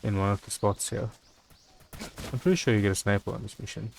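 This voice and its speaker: A man's voice: fairly low pitch, brisk, vocal fry, slight background noise. A man doing live video game commentary as a streamer, casually muttering his thoughts aloud as he plays.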